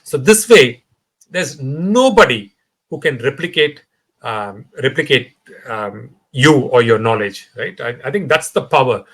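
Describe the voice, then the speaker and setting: A man with an Indian English accent, speaking as a podcast guest in a conversational interview, animated and full of fillers and restarts. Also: medium pitch, measured pace.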